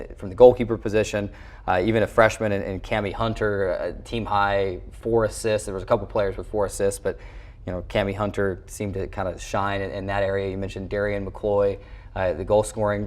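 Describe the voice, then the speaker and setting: A man with an American accent and a fairly deep voice hosts a college sports show. He talks as a broadcaster recapping games and leading an interview.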